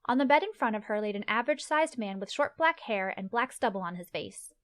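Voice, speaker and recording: Woman narrator for a fantasy short story, slightly high register, reading scripted prose. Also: lively intonation, fast, a bit bright.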